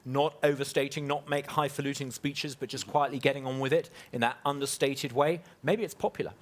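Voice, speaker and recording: A man's voice: medium pitch, steady tone, brisk. A confident man from Britain making his case on a conference panel. He stumbles into stammered restarts as he talks, and his voice sits mid-range. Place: conference hall.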